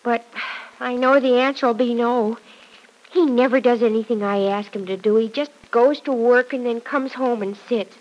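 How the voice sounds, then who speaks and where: A woman's voice: a little high, steady tone, moderate pace, muffled recording, natural voice. A woman playing a cheerful, devoted young farm girl working as a housemaid in a classic radio drama, earnest and artless with folksy exclamations. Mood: wistful, earnest.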